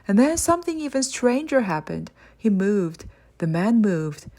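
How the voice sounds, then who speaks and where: A woman narrates a children's story with expressive delivery. Her voice has a medium pitch, and she reads in a scripted audiobook style. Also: unhurried.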